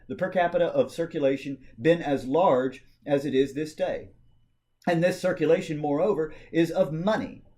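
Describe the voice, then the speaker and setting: Higher-pitched man delivering formal oratory. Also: a little mellow. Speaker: amateur reader of a historical speech. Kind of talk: dramatic reading of a political speech, formal oratory.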